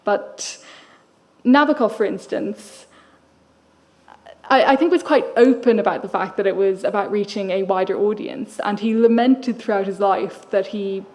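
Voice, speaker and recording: A young woman from Ireland delivers a public lecture, her tone thoughtful and dryly wry. She reasons aloud in a reflective, measured way, with a voice of middling pitch for a woman. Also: a little mellow.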